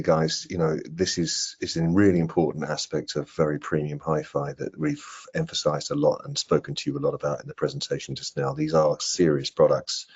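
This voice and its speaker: Older male presenter, rock-bottom husky register, measured webinar delivery, muffled call audio. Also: very deep voice. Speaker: product trainer. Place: indoor room on a video call.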